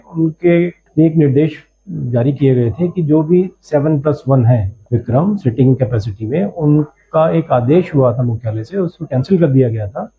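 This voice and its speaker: A man giving a slow, deliberate official statement about a transport order. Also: mid-range voice, breathy edge. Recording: phone-like field recording.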